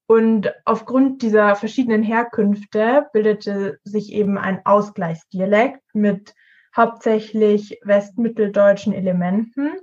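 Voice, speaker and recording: A woman's voice: mid-range voice, a little mellow. A woman in her early twenties co-hosting a student podcast with prepared, explanatory talk. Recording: dull lo-fi podcast audio.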